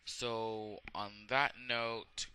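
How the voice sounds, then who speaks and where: A man's voice: on the low side, level tone, a crowd in the background. A young man giving a rambling, casual movie review, full of fillers and restarts, with a sore throat. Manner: halting, many fillers and restarts. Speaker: amateur video reviewer.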